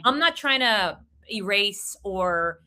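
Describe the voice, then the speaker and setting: Bright-voiced Puerto Rican woman hosting. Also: mid-range voice, expressive. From Puerto Rico. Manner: eager, quick to react, loses her train of thought. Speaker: podcast host. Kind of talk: casual interview chat.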